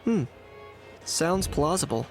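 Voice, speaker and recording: A man playing a modest, earnest young guard in an anime game, steady and understated. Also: fairly high pitch, slow pace. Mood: pondering. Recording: game music behind the voice.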